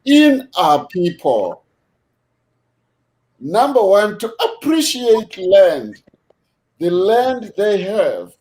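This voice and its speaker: A man hosting a video call discussion, measured and unhurried, with many fillers as he thanks his guests. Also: high-pitched, sing-song, a little mellow. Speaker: host.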